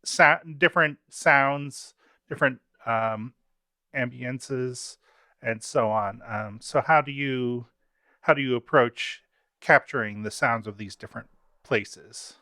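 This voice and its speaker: Bright, dry-sounding male interviewer. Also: medium pitch, takes it slowly, dry recording. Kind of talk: casual interview questions.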